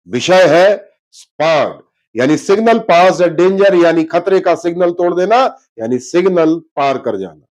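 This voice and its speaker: A man's voice: high-pitched, a little mellow, rough recording. A man presenting a scripted investigative news report in a dramatic, emphatic manner. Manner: dramatic, emphatic, with rhetorical questions.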